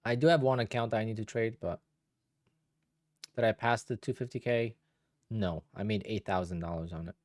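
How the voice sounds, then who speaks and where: A man's voice: fairly low pitch, normal speed, long pauses, no room sound, studio quality. A man in his thirties from Poland, a day trader chatting casually and rambling as he answers viewers on a live stream. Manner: relaxed, rambling, thinks aloud with restarts.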